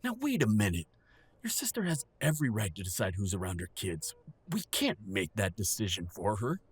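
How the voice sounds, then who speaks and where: A man's voice: lowish voice, huge pitch swings, brisk, breathy edge, exaggerated voice. A man playing an aging, earnest father in an audio drama, defensive and hesitant with his grown son. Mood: indignant, defensive. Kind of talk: audio roleplay drama acting.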